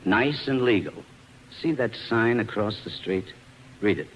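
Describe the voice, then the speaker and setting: A man's voice: on the low side, expressive, unhurried, highs cut off. An actor playing a brash, scheming carnival showman in 1940s radio style, mocking and sly. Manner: sly, taunting, showy. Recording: noisy 1940s radio broadcast recording.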